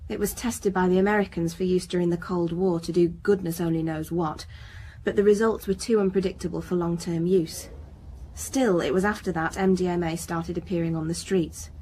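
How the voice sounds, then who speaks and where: A woman's voice: fairly low pitch, fairly even intonation, a little fast, mellow, slight background noise, natural voice, poor audio. A woman playing an earnest, defensive research scientist in a radio crime drama, precise and lecturing in manner. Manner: precise, lecturing, slightly superior.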